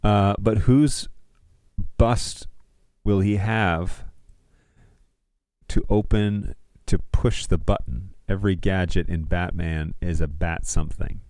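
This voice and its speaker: A man's voice: low voice, fairly even intonation, takes it slowly, long pauses, darkish tone, no echo. A middle-aged man hosting a livestream, chatting informally and rambling as he thinks aloud while browsing. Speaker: livestream host.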